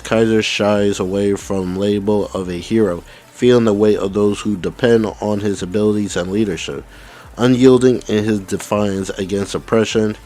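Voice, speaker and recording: A man's voice: lowish voice, darkish tone. A man hosting a gaming channel, coming down with the flu, casually reading out game details and commenting, with stumbles and restarts. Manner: reads text aloud with stumbles and restarts.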